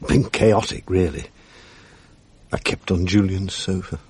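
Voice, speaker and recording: A man's voice: low voice, unhurried, a little mellow, breathy edge, heavily acted. A man playing a wry, world-weary, middle-aged English husband in a radio drama. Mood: tender, tired. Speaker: actor, narrator of the drama. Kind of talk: 1980s British radio drama acting.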